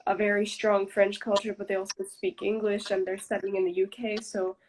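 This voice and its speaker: A young woman speaks as a podcast guest over a video call, with a faint noise hum under her voice. Her pitch sits in the ordinary middle for a woman. She talks in a loose, thoughtful way, filling pauses with "like" and "you know.". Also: poor audio. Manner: relaxed, reflective, lots of "like" and "you know". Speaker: interview guest. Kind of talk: casual interview chat.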